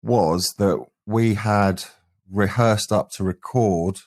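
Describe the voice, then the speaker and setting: Man, low-pitched voice, band frontman, conversational interview answers. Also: slow, a little mellow. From England. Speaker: band frontman, singer and guitarist.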